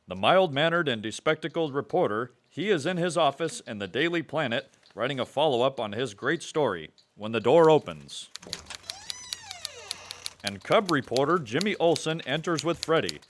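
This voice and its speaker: A man's voice: medium pitch, expressive, normal speed. A man giving dramatic scripted narration in the style of a 1940s radio adventure serial.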